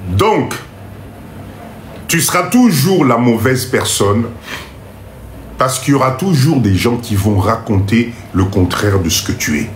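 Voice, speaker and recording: A man's voice: medium pitch, big pitch swings, unhurried, pauses often. A man with a Congolese French accent and a blocked nose from a cold, hosting a motivational live stream in an emphatic, repetitive, urging way. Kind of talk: motivational live talk to viewers. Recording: roomy echo, faint background noise.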